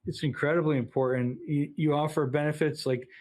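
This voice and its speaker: A man's voice: on the high side, fairly even intonation, fairly quick, darkish tone. A man hosting an interview, enthusiastic and full of praise for his guest. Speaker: interview host. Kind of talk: interview host.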